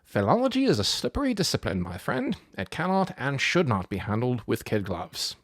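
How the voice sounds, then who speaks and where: A man voicing a polite, pedantic boy scholar in a storybook, wordy and old-fashioned in his gentlemanly phrasing. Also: mid-range voice, huge pitch swings, moderate pace, no room sound, clean studio sound. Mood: patient, chiding. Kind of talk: storybook character voice.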